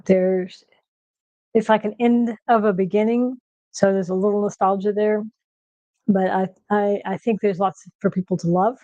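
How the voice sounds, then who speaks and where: A woman with a soft nasal voice chatting casually on a livestream from her kitchen, with lots of fillers and restarts. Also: medium pitch, level tone, normal speed, no echo, highs cut off. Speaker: streamer host. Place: home kitchen.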